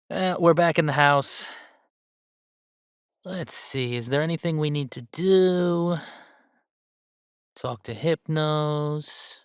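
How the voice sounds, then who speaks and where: A man playing an upbeat, earnest video game streamer who chats casually and haltingly in a livestream roleplay. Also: a little high, a bit bright, dry recording, studio quality, heavily acted. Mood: relaxed, musing. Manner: halting, rambling, sighing, earnest.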